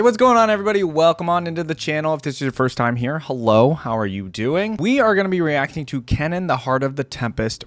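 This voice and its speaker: A man's voice: mid-range voice, no room sound. A man hosting a reaction channel, speaking conversationally as he comments and reads lore aloud. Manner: conversational, interjecting his own asides. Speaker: YouTube reactor and channel host.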